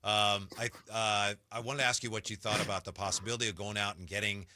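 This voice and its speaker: A man's voice: fairly low pitch, steady tone, fast, sharp tone, a little hiss or hum. A man hosting a casual sports radio interview, chatting conversationally.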